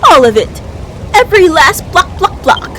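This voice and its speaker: A woman's voice: very high-pitched, big pitch swings, normal speed, heavy background noise, voice pushed up. A woman voicing a kindly old spirit guide who stutters and repeats words with grandiose cheer in a video game. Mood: grandly pleased.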